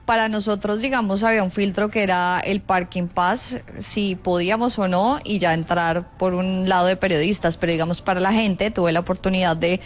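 A woman's voice: fairly low pitch, fairly even intonation, normal speed, hardly any pauses, a little hiss or hum. A woman with a Colombian accent, a sports journalist giving her account in an unscripted panel discussion.

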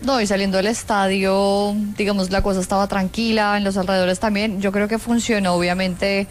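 A woman's voice: mid-range voice, little pitch movement, brisk. A woman with a Colombian accent, a sports journalist giving her account in an unscripted panel discussion.

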